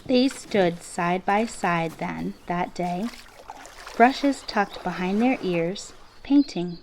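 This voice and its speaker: A woman reading a children's picture book aloud expressively, with measured, deliberate phrasing and emphasis on key words. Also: fairly low pitch, noisy background. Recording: classical symphony music playing behind. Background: orchestral classical music.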